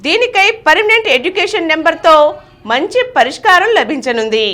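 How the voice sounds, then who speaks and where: Female news reader, high voice with animated pitch movement, reading scripted bulletin copy. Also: brisk.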